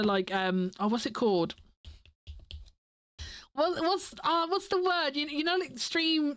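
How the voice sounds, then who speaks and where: A woman's voice: on the high side, dry recording. A woman streamer chatting casually to her viewers, stuffy and nasal from a cold, rambling and giggling often. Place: home desk. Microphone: desk mic.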